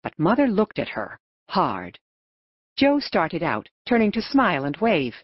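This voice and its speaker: A woman's voice: fairly low pitch, wildly swooping pitch, measured pace, breathy edge, no echo. A woman narrating a children's novel from a script as an audiobook reader. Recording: dull, narrow lo-fi audio.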